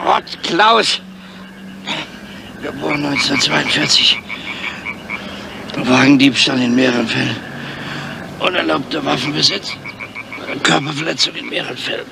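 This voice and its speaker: An actor playing a crafty, weary, grumpy police inspector in a radio crime drama, speaking in a dry, measured, deliberate way. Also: male voice, a little high, vocal fry. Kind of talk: 1970s German crime radio drama acting. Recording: noisy, dull old radio drama recording.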